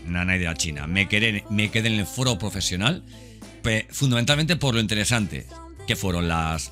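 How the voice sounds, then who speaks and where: Deep, bright-voiced Spanish man podcasting. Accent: Spanish, from Spain. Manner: conversational and enthusiastic, repeats words, seeks agreement with tag questions. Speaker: podcast host. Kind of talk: casual spoken podcast monologue.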